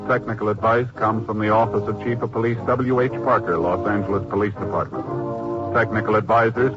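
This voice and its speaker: A man with an American accent reading formal, measured scripted announcements in the style of 1950s radio. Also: on the low side. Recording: dull old radio broadcast recording. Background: music.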